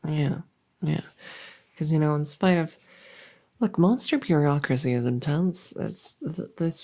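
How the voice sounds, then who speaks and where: A woman playing an anxious, overworked but friendly monster under the bed in a comedic ASMR roleplay, rambling and stammering softly, often slipping into a whisper. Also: deep voice, vocal fry, heavily acted. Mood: stressed, earnest. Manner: rambling, nervous, stammering and repeating herself.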